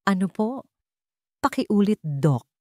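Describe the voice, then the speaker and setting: A Filipino woman voicing a young female patient in a story, fearful and disbelieving, with halting, emotional questions. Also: medium pitch, sing-song, a little mellow, no room sound, studio quality, voice pushed up. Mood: confused.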